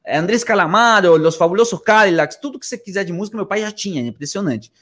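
A man from Argentina speaks in a somewhat high voice with a Brazilian Portuguese accent. His delivery is casual and slangy, wandering through stories as he cuts himself off and picks up again. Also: expressive, fast talker, fairly bright tone. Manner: rambling, slangy, self-interrupting. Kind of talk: casual conversational storytelling.

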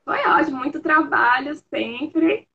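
A woman's voice: sits high, unhurried, gravelly, a crowd in the background. A young woman hosting a casual live stream through video-call audio, upbeat and talking straight to the audience. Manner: upbeat, addresses the audience as "galera". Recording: dull lo-fi call audio with faint noise.

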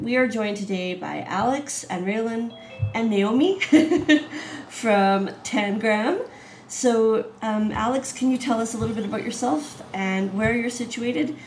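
A woman's voice: mid-range voice, echoey room, a little hiss or hum, low-quality recording. A woman hosting a casual video-call interview, chatty with lots of "um"s and laughs. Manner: chatty, warm laughs, many "um"s. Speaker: host, artistic director.

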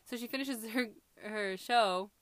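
A woman's voice: on the high side, unhurried, fairly bright tone, no room sound. A young woman from California chatting with her sisters, teasing them in a laid-back way as she tells stories. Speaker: podcast co-host. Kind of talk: casual chat among sisters.

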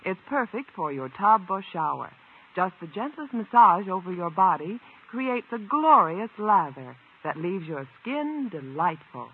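High, husky vintage ad announcer, man. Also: big pitch swings, a little slow. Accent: American. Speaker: commercial announcer. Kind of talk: 1950s radio soap advertisement. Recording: vintage 1950 radio, narrow muffled band.

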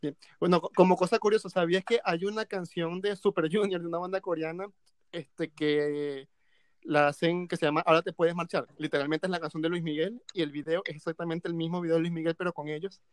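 A man co-hosting a casual music talk, playful and unhurried, often laughing. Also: on the high side, steady tone, no room sound. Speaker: podcast co-host. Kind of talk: casual chat.

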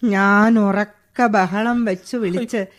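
An actress playing a stern elderly woman who catches a burglar at night, speaking in a slow, commanding, scolding way in a radio play. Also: medium pitch, steady tone, lightly acted. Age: older. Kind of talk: 1980s-style radio play acting.

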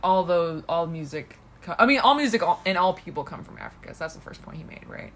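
A woman co-hosting a podcast in easy, casual chat. Her voice sits a little low for a woman, and she talks in a chatty, self-conscious way, full of fillers and restarts. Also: animated, fast, a bit bright. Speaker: podcast co-host.

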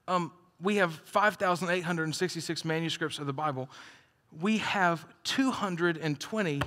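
Male pastor, bright forceful voice, slightly high, conversational sermon, long hall reverb. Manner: conversational and joking, with laughs.

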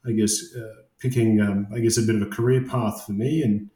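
A man's voice: lowish voice, fairly even intonation, brisk, warm tone, roomy sound. A man in his late thirties from Iran, raised in Australia, talking as a guest in a casual interview and often hesitating with fillers and restarts. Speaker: guest, construction project manager.

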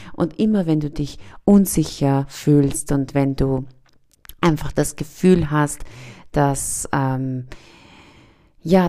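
A woman's voice: deep voice, vocal fry, warm tone. A young woman, raised in Austria after being born in Iran, hosting a podcast and giving an earnest motivational talk aimed straight at the listener. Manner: earnest, speaks directly to the listener. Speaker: podcast host.

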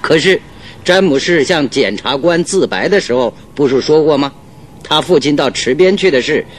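Analytical detective male actor, radio drama: confident, measured reasoning, lively intonation, music bed. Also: medium pitch, natural voice. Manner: measured, reasoning, rhetorical questions. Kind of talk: Mandarin radio drama acting.

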